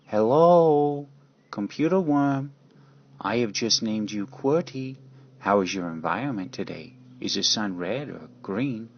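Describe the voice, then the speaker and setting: Radio drama inventor, male actor: grandiose and commanding, husky low delivery, muffled recording. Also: expressive, unhurried.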